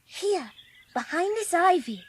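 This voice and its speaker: A woman's voice: very high voice, very animated, slow, heavily acted. A woman playing an earnest, lonely orphan girl in a dramatised audiobook, with childlike phrasing. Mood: secretive, defiant.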